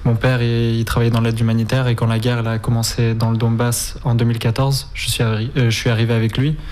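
A young man. His delivery stays flat and even, with little rise or fall. He pauses often and restarts his sentences as he searches for words. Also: mid-range voice. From Spain, raised in Ukraine. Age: 20s. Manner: halting, frequent hesitations. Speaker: guest. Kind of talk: interview answers.

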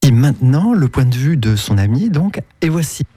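A man's voice: mid-range voice, very animated, moderate pace, dark tone, a little hiss or hum. A man narrating as a host, introducing interviews in a conversational way. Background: music under the voice.

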